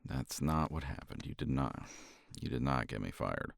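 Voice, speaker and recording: Casting: deep, gravelly-voiced man, tender partner roleplay, lively intonation. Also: moderate pace, no room sound, clean studio sound. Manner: tender, reassuring partner talk to a listener. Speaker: ASMR roleplay performer. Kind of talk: romantic ASMR roleplay, intimate soft talk.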